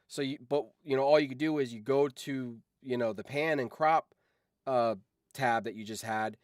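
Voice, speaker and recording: A man talks off the cuff on a livestream in a mid-pitched voice, recorded dry and close. He rambles from one thought into the next in an easygoing chat style. Swearing slips freely into his speech. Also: normal speed, dry recording. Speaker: streamer and video editor chatting with viewers.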